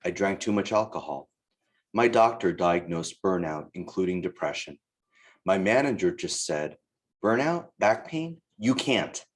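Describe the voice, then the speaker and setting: A man with a deep, low-pitched voice reads aloud from a written testimony. The delivery is that of someone reciting another person's first-person account.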